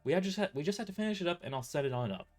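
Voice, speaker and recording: A man's voice: a little high, animated, rapid-fire, faint music behind, voice pushed up. A man voicing a sheepish young office dispatcher, hesitant, stammering and apologetic, in an audio drama. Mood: nervous.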